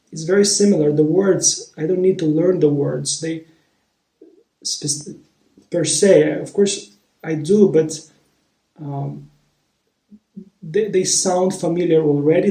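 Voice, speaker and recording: A man shares a personal story in a reflective, unhurried way, often pausing to find the right word. His voice sits a little high but sounds soft and mellow. The room around him rings with echo. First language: Romanian. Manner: thoughtful, searching for words. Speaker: vlogger. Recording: roomy echo.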